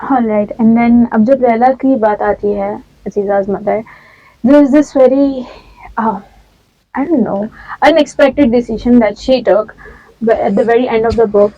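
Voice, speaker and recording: A woman's voice: on the high side, a little fast, warm tone, dry recording. A woman hosting a book podcast, asking long rambling questions and switching between Hindi and English. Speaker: podcast host. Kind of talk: casual book discussion. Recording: slightly dull lo-fi sound.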